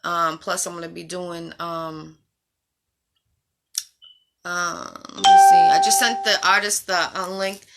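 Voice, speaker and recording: A woman's voice: lowish voice, flat delivery, heavy background noise. A woman in her forties hosting a casual interview show, chatty and upbeat. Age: 40s. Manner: chatty, upbeat, quick asides. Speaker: show host.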